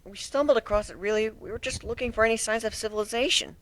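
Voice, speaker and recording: A nervous young female griffin, acted out by a man in a fantasy story reading. The voice is high and soft, hesitant and prone to stammering, timid yet defensive. The recording is close and very dry. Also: fast, dry recording. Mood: subdued, explaining. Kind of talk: dramatic fan fiction reading.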